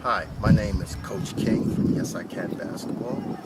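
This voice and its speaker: A man's voice: lowish voice, measured pace. A man with a New York accent, a youth basketball coach giving an earnest, motivational interview. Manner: earnest, motivational, explains patiently. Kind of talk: on-location interview. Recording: distant mic, loud street noise.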